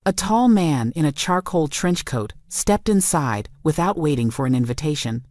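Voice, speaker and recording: Low-voiced woman narrating a drama. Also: animated, moderate pace, a little mellow, no room sound, clean studio sound. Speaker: story narrator.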